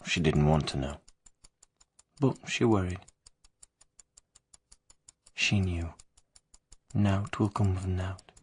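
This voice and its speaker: Low-voiced brooding young killer. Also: male voice, takes it slowly, long pauses, a little hiss or hum. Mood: brooding, cold. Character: haunted young male killer, brooding and confessional. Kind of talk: first-person radio drama narration.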